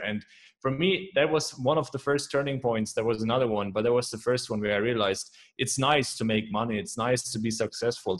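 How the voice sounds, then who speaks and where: A young adult man with a German accent, a guest chatting casually and enthusiastically on a podcast. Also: on the low side. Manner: upbeat, enthusiastic, repeats "man" and "right?". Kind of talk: casual interview chat.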